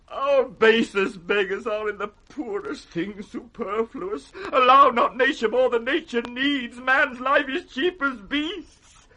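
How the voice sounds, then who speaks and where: Elderly monarch, high, forceful voice, wounded and near madness, classical radio Shakespeare. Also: male voice, exaggerated voice. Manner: declamatory, swinging between hushed and crying out. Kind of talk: 1960s British radio Shakespeare acting.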